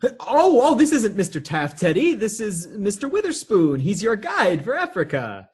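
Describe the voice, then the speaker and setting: An actor playing an anxious nephew who stammers and placates everyone, flustered, in a radio theatre comedy. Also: male voice, high voice, wildly swooping pitch, moderate pace, a little mellow, slightly rough, heavily acted. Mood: nervous, pleading. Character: flustered, anxious nephew desperately managing his mad family.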